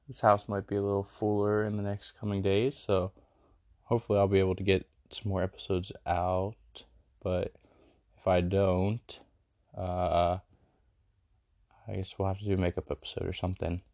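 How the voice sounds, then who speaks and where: A man's voice: low voice, flat delivery, moderate pace, pauses often, dark tone, no room sound, clean studio sound. A young man hosting his podcast, a bit congested from a cold, rambling casually with lots of fillers. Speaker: podcast host. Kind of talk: casual late-night podcast chat. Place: a house with dogs.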